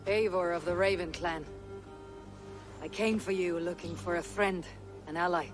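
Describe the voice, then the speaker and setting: A woman playing a stoic, determined female Viking raider in a video game, speaking bluntly and deliberately. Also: fairly low pitch, fairly even intonation, over music, natural voice. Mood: earnest, direct. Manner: deliberate, direct. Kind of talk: dramatic video game acting.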